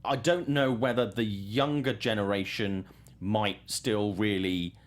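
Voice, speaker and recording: A man's voice: on the low side, fairly even intonation, unhurried. A man hosting a talk show, giving conversational film commentary and thinking aloud as he goes.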